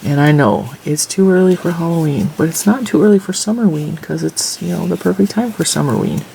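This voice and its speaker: A woman with a stuffy, congested nose talks casually while she crochets and counts off her stitches and rows. Her voice has a gravelly fry, and a faint hiss of noise sits behind it. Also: fairly low pitch, brisk, warm tone, rough recording. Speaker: crochet tutorial host. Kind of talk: casual crochet tutorial with chat.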